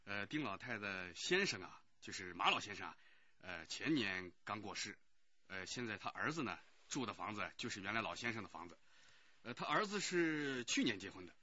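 Radio drama committee director: effusive gossip, very bright and husky, swooping intonation. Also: male voice, lowish voice, big pitch swings, normal speed, dry recording, full character voice. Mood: gossipy, explanatory. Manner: effusive, hesitant, gossipy, full of interjections. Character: chatty, eager-to-help male neighbourhood committee director. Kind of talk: Chinese radio drama acting. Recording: dull, muffled radio drama recording.

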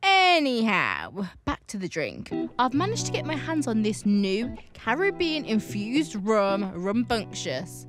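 A woman's voice: a little high, huge pitch swings, takes it slowly, a bit bright, faint music behind. Teasing young woman host. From Birmingham, England. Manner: teasing, playful. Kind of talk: scripted presenting and casual chat. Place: indoor living room set.

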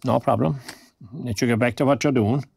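A friendly older Irish man, retired and fond of a chat, in a naturalistic audio drama. His voice is husky and full of creak, and he talks in folksy, old-fashioned phrases. The recording is close and dry. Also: mid-range voice, steady tone, a little fast, a little mellow, no echo. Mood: apologetic, polite.

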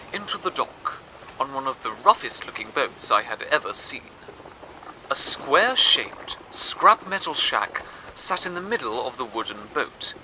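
A man hosting a radio show, telling a story in scripted, wordy, measured narration. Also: medium pitch, noisy background. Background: music.

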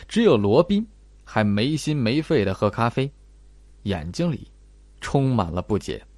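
A man's voice: medium pitch, a little mellow, dry recording. A man narrating a mystery audiobook in a dramatic, scripted style.

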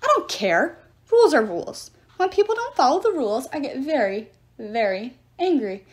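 A fussy male god trapped in a statue as a woman reader acts him out for a storybook. The voice is fairly high, indignant and officious, complaining like a stickler for rules. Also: big pitch swings, normal speed. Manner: officious, shrieking, rule-obsessed complaining.

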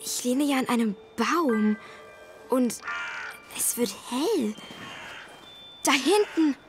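A woman's voice: high voice, lively intonation, slow pace, music in the background. A female performer playing a sensible, easily exasperated schoolgirl, an older sister, in an audio drama. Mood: uneasy.

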